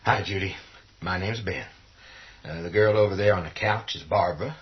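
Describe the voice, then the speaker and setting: Low-voiced gruff, tough man, played by an actor. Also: normal speed, lo-fi sound, close to an everyday voice. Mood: subdued, steady. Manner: gruff, scornful, swearing. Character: tough, hot-tempered, foul-mouthed man defending his territory. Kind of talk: horror radio drama acting.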